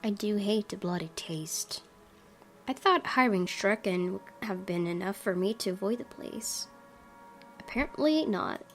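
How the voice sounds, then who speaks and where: A woman's voice: mid-range voice, lively intonation, unhurried, fairly bright tone. A woman hosting a gaming video, reading visual novel narration aloud. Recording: game music behind. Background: music.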